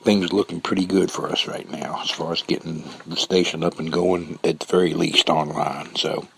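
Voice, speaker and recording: A man's voice: sits low, flat delivery, moderate pace, creaky, a bit bright, slight background noise, rough recording. A man hosting a radio show, chatting informally and a little hesitantly, repeating himself. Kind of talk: casual unscripted sign-off chat.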